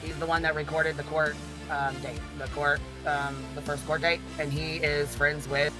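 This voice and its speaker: Blunt, forceful, high-voiced man swearing emphatically. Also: flat delivery, normal speed, soft background music. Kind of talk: heated informal argument.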